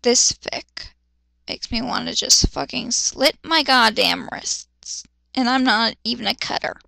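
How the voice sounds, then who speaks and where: Sweary, exasperated high-pitched woman with creaky edge. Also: sharp tone, no echo, low-quality recording. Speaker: amateur dramatic reader and critic. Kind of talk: dramatic reading with sarcastic ranting asides.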